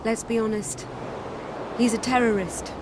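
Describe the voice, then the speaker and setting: A woman's voice: fairly high pitch, steady tone, heavy background noise, lightly acted. A woman voicing a regal dragon in human form. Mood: composed. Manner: measured, composed, slightly formal. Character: dragon posing as a young woman, calm and regal leader. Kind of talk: video game cutscene dialogue. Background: loud orchestral game score.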